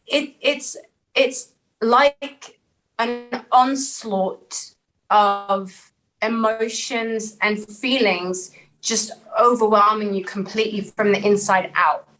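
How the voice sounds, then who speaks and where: A woman's voice: mid-range voice, takes it slowly, fairly bright tone, poor audio. A woman, speaking earnestly and reflectively as a podcast guest and repeating phrases for emphasis. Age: 30s. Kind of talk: candid personal interview.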